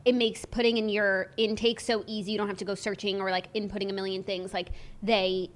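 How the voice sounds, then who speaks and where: A woman's voice: mid-range voice, level tone, fairly quick. A woman co-hosting a pop culture podcast, upbeat and gushing, with lots of fillers and restarts. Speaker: podcast co-host. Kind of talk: casual pop culture chat.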